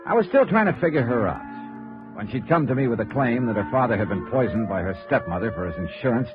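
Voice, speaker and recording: An actor playing a tough, wry insurance investigator in 1950s radio noir, clipped and dry. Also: male voice, mid-range voice, lively intonation, quick pace, lightly acted. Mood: brooding narration. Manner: clipped, hard-boiled, dry. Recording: dull vintage radio recording, faint music.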